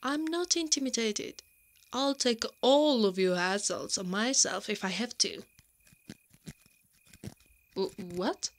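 A woman's voice: fairly high pitch, slow pace, pauses often. A woman voicing a brave, protective tsundere female knight in an ASMR roleplay, blustering and stammering when flustered. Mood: defiant. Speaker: roleplay audio voice actor.

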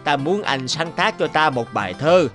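A man's voice: on the high side, animated, moderate pace, fairly bright tone, faint music behind. A man voicing a greedy, vain, blustering village landlord in a cartoon, pompous and bossy. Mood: smug. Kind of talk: cartoon dubbing.

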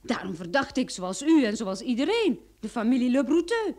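A sharp lady detective in a radio play, high voice, very animated, sure of herself. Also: normal speed. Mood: insistent. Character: sharp, confident young female private detective. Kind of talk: Dutch-language radio drama acting.

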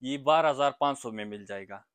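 A young man selling blankets in his small shop, eagerly pitching prices and agreeing with quick repeated yeses. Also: fairly bright tone, clean tone, no echo. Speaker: blanket shopkeeper. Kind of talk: sales pitch to a vlogger.

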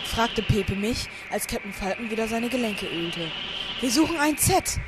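Young male narrator over very loud noise. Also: high voice, expressive, normal speed. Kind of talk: storytelling narration of amateur parody audio drama.

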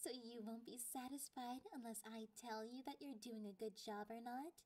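A woman voicing a clingy, possessive catgirl girlfriend in an ASMR roleplay, with a playful, condescending and drawn-out delivery. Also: fairly high pitch, steady tone, a little mellow, pitched below the performer's own voice, natural voice. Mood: commanding, smug.

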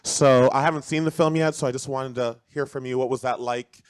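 A man's voice: mid-range voice, steady tone, a little fast, clear, resonant tone, no echo. A man from the audience speaking into a floor mic, earnestly thanking an author and asking a question. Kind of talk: audience question at a public talk. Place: large auditorium. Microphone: handheld audience mic.

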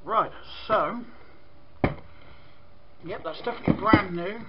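A man from Britain with a croaky voice, casually reviewing a toy set, rambling with lots of ums and clearing his throat. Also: sits high, unhurried, dull audio, heavy background noise. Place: indoor room at a desk with toy display.

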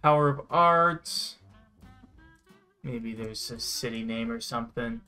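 A man's voice: medium pitch, slow pace, pushed and bright. A young man hosting a gaming video, commenting casually in an encouraging, enthusiastic manner. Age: young adult. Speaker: host of a gaming show. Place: indoor room, sharing a webcam frame with a friend. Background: music.

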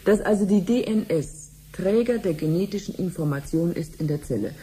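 An actress playing a friendly, patient cancer researcher in a radio drama, explaining things clearly like a teacher. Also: female voice, fairly low pitch, expressive, brisk, warm tone. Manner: didactic, clearly phrased explaining. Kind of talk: 1970s German radio drama acting.

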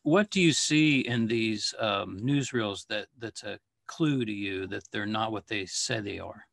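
Gravelly low-voiced male interviewer, dry sound. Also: a little slow, a bit bright, dry recording. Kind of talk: casual interview questioning.

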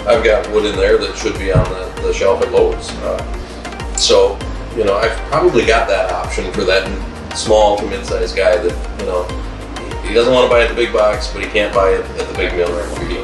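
A middle-aged man with a low voice answers interview questions about his lumber business, speaking plainly and dropping frequent "um"s. He sits a little away from the mic in a wood-paneled room, so his voice carries a roomy echo. Music plays clearly behind him. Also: fairly even intonation, normal speed, distant mic. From Wisconsin, USA. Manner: plain-spoken, frequent "um" fillers.

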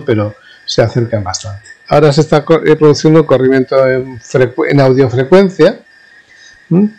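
A man with an accent from Spain gives an informal technical tutorial as an instructor, explaining haltingly and checking in with his listeners. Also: medium pitch, a little mellow. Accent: Spanish (Spain). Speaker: university professor, instructor.

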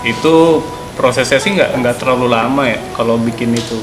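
A man's voice: medium pitch, moderate pace. A man chats casually as an interviewed musician, explaining in slang and repeating his words as he goes. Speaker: band member and songwriter being interviewed. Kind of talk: casual chat. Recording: roomy echo, loud background music.

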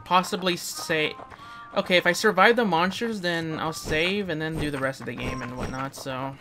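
A man casually commenting on his own gameplay, rambling, chatting to the enemies and breaking into sudden excited outbursts. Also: on the high side, moderate pace. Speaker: let's play gamer. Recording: loud game music behind.

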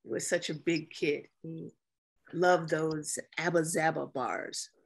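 A woman acting a grieving, guarded female naval officer and caretaker at a screenplay table reading, with measured, halting delivery. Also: low-pitched, steady tone, vocal fry, breathy edge, full character voice. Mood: fond, sad.

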